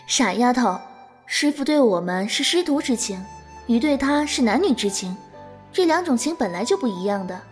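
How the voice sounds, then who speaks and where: Woman acting a young martial heroine, fairly high voice, soft, patient, indulgent, composed, audio drama. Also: animated, moderate pace, soft background music, lightly acted. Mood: fond, patient. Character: gentle, patient, doting young swordswoman, older fellow disciple.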